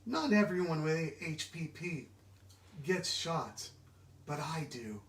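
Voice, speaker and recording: A man giving an animated, expressive children's storybook read-aloud, engaging his listeners directly. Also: a little high, slow. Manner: expressive, interactive, asks listeners questions. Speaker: children's book reader. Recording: echoey room, distant mic.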